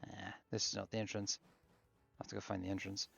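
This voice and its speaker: A man hosting a casual game stream, chatting in a relaxed way while fiddling with his setup. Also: lowish voice, fast, gravelly, husky, faint music behind. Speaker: streamer host.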